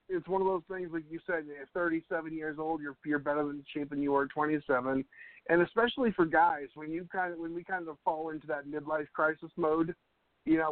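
A man's voice: a little high, no echo. Stammering thirty-something man on tinny line. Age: 30s. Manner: stammering restarts, laughs easily, rambling. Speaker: radio show co-host. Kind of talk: casual radio chat. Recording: dry, tinny phone-like line.

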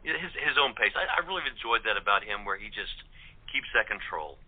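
A man's voice: on the low side, lively intonation, fairly quick, husky. A man, an actor appearing as a guest, chatting casually in an interview. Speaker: radio play actor as guest. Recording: narrow, tinny telephone line.

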